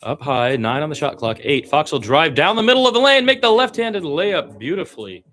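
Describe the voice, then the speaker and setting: A man's voice: fairly high pitch, big pitch swings, brisk, a bit bright. A man giving conversational radio play-by-play of a basketball game, mixing running calls with opinionated asides. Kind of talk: live basketball play-by-play commentary.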